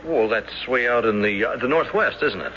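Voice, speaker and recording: A man acting in a 1970s radio drama as a timid, soft-hearted banker, hesitant, stammering, polite and formal. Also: mid-range voice, expressive, normal speed, close to an everyday voice. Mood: flustered, polite. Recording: dull, noisy 1970s radio broadcast.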